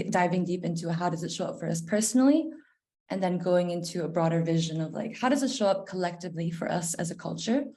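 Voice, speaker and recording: Female guest speaker from Malaysia, twenties, warm dark timbre, informal conversational talk from home. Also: lowish voice. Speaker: guest presenter, researcher. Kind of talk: informal online presentation. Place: living room at home, indoors. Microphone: video call, laptop.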